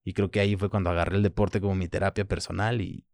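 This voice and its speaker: A man in his thirties with a Mexican Spanish accent, French as his first language, speaking as an interview guest in a reflective, halting way, often pausing with fillers. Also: low-pitched, flat delivery, darkish tone, no room sound. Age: 30s. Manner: reflective, halting, frequent "pues" and "¿sabes?". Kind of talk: personal interview.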